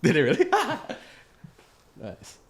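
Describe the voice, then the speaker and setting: A man's voice: sits high, huge pitch swings, drawn-out words, husky. A man co-hosting a podcast in casual banter, slangy and fond of repeating his phrases. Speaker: podcast co-host.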